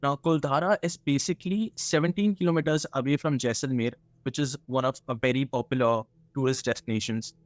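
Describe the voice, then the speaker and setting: A man with an Indian accent tells a story as if chatting to a partner, at a medium pitch. His delivery is halting and full of small asides, and the recording is very dry. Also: fairly even intonation, no echo.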